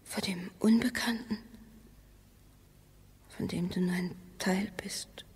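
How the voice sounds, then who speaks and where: Breathy, bitter wife in reverb. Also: female voice, medium pitch, steady tone, slow pace. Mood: cold, guarded. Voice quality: breathy, often whispering. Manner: reproachful, tense, often hushed. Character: bitter, distrustful wife exploring an underground passage. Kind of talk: radio drama acting. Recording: long cave-like reverb.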